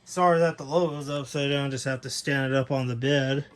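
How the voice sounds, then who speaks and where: A man's voice: medium pitch, steady tone, a little fast, clean tone. A man giving a casual, unscripted overview as a hobbyist video host, rambling and correcting himself as he goes.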